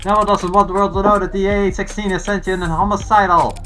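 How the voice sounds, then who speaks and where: An actor in a video game playing an agitated, shouting conspiracy-minded protester, loud and insistent. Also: medium pitch, little pitch movement, fast, natural voice. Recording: game audio with loud music underneath. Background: game music.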